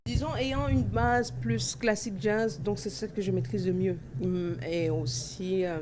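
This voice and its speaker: A woman's voice: medium pitch, steady tone, measured pace. A woman in her forties from Cameroon, answering interview questions at length with many fillers and restarts. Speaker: dancer and festival organizer. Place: outdoor festival grounds. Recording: festival ambience noise behind.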